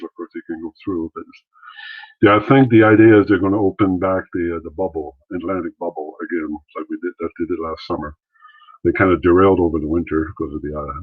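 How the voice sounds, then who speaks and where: Deep-voiced man on a video call. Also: breathy edge, no room sound. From Maritimes, Canada. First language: French. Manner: rambling, many restarts and fillers. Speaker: interview guest, former wrestler and actor. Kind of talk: casual interview talk. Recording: thin phone-like line, dry.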